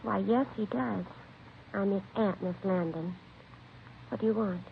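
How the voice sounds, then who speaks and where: Protective middle-aged aunt, creaky voice, hesitant, defensive, polite, tinny vintage radio recording. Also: female voice, medium pitch, unhurried. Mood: polite, wary. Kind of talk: 1950s radio drama acting. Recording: tinny, faintly noisy old radio recording.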